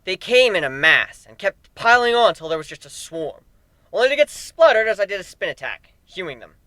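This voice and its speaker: A man's voice: high voice, big pitch swings, brisk, bright, forceful tone, no room sound. A man narrating a first-person story dramatically, as an amateur reader.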